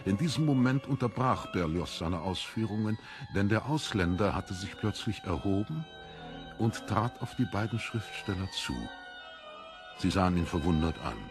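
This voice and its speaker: A man narrating a literary story as a scripted audiobook, with measured, deliberate storytelling. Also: low voice.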